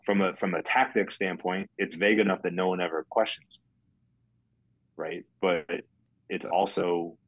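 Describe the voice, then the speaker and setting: A man, a life insurance agent, giving a guest interview in a plainspoken, monotone way and explaining step by step. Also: low-pitched, no echo, lo-fi sound. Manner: monotone, says so himself. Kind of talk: casual interview talk.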